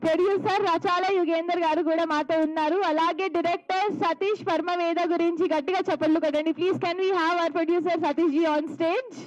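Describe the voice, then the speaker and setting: A woman's voice: very high-pitched, fairly even intonation, normal speed, hardly any pauses, muffled recording. A woman with an Indian accent, hosting on stage and effusively calling for applause. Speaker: event host, emcee.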